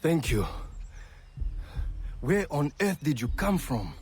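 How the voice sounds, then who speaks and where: An actor playing a determined African escaped laborer in a video game, speaking earnestly and with emphasis. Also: male voice, fairly high pitch, big pitch swings, slow pace, a little mellow, slight background noise. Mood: surprised, earnest. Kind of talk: dramatic video game cutscene acting.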